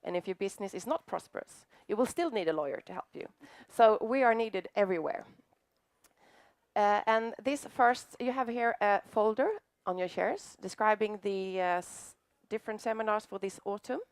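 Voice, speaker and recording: Gravelly woman hosting a seminar. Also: mid-range voice, no room sound. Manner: friendly, halting, many fillers. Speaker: event host. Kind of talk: introducing a seminar.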